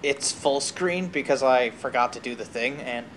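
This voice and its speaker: A man's voice: medium pitch, normal speed, a bit bright. A man chatting casually as host of a gaming stream, rambling and digressive with bookish word choices. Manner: rambling, digressive, uses academic words.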